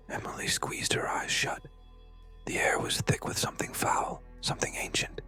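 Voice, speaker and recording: A narrator telling a scary story in a breathy, hushed whisper, with dramatic short suspenseful phrases. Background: music.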